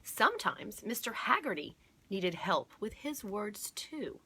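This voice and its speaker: A woman hosting a children's storytime, reading aloud and pausing to explain and point things out to her listeners. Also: mid-range voice, big pitch swings, pushed and bright, breathy edge. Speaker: storytime host at a museum garden. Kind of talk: read-aloud storytime for children with chatty asides.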